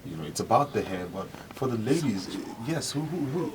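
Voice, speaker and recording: A woman's voice: rumbling low, big pitch swings, fairly quick. A woman hosting a casual radio interview, repeating words as she frames questions. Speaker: radio host. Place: radio studio. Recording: noisy room, distant mic.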